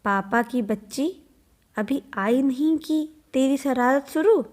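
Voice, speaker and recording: A woman voicing an anxious, scolding young mother in a cartoon story, expressive and fretful. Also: sits high, slow pace.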